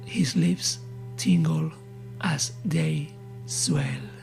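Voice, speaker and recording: Very slow, hushed woman narrating horror. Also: low-pitched, vocal fry, faint music behind. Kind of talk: scripted horror story narration.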